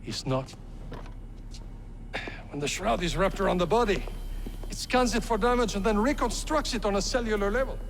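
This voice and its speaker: Brief: an excitable scientist, high and gleeful, echoing room. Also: male voice, moderate pace, vocal fry, long pauses, a little hiss or hum. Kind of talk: video game cutscene acting.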